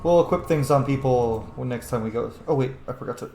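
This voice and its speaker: A man's voice: mid-range voice, quick pace, vocal fry, dark tone. Casual man in a roomy, echoey space. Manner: informal, profane, chatty. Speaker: gameplay host, role-playing commentator. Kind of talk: casual gameplay commentary. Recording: roomy echo, faint noise.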